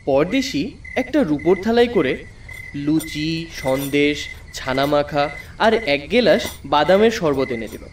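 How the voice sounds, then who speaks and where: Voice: mid-range voice, expressive, a little slow, recorded from a distance, slight background noise. A narrator telling a first-person story in a dramatic, scripted audiobook style. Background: background music.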